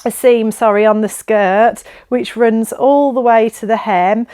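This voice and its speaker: A woman's voice: a little high, steady tone, a little slow, darkish tone, smooth. Chatty, enthusiastic woman presenter. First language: English. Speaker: presenter of a sewing shop's pattern review video. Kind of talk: casual product review chat to viewers.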